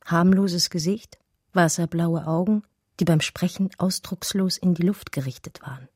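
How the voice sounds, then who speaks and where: Radio drama lead: romantic female intellectual, lowish voice, breathy edge, measured and intimate storytelling. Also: darkish tone, no room sound, studio quality. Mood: entranced, intimate. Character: romantic, daydreaming female art historian recalling an infatuation. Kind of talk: radio drama first-person narration, literary.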